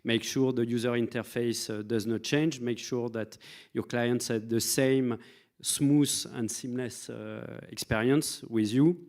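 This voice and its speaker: A man answering a question on a conference panel in a measured, persuasive way. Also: mid-range voice, steady tone, darkish tone.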